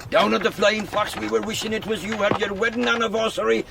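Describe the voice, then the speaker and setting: Cheerful country villager, high creaky voice, folksy and flattering. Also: male voice, fast, background noise. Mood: grateful, teasing. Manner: folksy, deferential. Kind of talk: radio drama acting.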